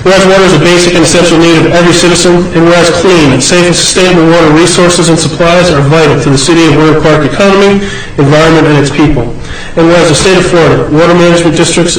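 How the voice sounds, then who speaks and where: A male mayor with a cold, reading a proclamation quickly with small stumbles and joking asides at a city meeting. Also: on the high side. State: has a cold, congested. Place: council chamber, indoors. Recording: echoey council chamber, faint hiss.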